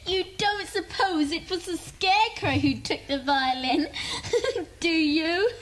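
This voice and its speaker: A woman's voice: very high-pitched, expressive, takes it slowly, a bit bright, exaggerated voice, rough recording. A woman playing a thoughtful little child in a children's club.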